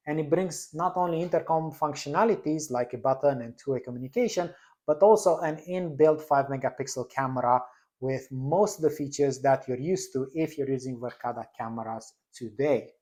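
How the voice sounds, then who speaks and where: A man in his thirties with a slightly high voice presents a tutorial. He explains each step in a semi-scripted, instructional way, speaking from a desk in a small indoor room.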